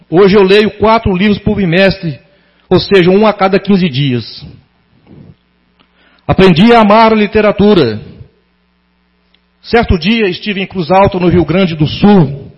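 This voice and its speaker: A middle-aged Brazilian man delivering a prepared public tribute in a formal, sentimental, deliberately phrased way. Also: sits high, husky. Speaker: former pupil giving a tribute to a teacher. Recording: dull lo-fi sound.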